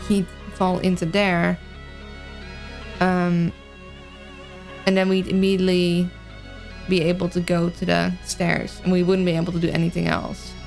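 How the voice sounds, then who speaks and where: Gravelly-edged woman with mild Dutch accent. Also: on the low side, monotone, measured pace, pauses often, a little mellow, faint music behind. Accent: mild, mixed Dutch. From Netherlands. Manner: hesitant, thinking aloud. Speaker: game streamer. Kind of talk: casual livestream chat and puzzle-game commentary.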